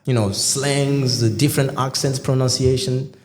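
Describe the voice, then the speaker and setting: A man's voice: mid-range voice, moderate pace. Male podcast host, playful and chatty; roomy, reverberant sound. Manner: lively, playful, many fillers and restarts. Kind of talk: casual interview chat. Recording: echoey room with long reverb.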